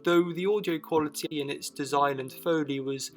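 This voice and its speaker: A man's voice: fairly high pitch, steady tone, moderate pace, faint music behind. A man reading scripted narration for a documentary-style video essay.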